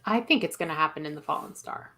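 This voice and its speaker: A woman's voice: low voice, quick pace, sharp tone. A woman guesting on a podcast, chatting casually about fandom in an emphatic, opinionated way. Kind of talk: casual fan discussion.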